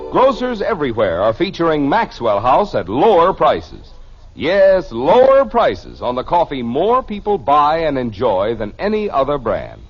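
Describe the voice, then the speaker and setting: A man's voice: medium pitch, husky. An American man announcing a 1950s radio commercial with polished, emphatic salesmanship. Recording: dull old radio recording.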